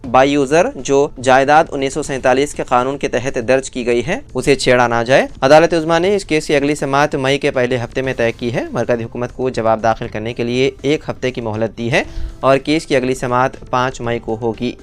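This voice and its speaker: A man's voice: mid-range voice, normal speed. A man presenting scripted news in a formal bulletin delivery, as a news presenter would.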